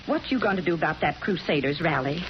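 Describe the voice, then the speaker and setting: A woman's voice: low voice, expressive, fast. An actress playing a folksy, chatty small-town American drugstore woman, friendly but narrow-minded, in a 1940s radio drama. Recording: muffled, hissy 1940s radio recording.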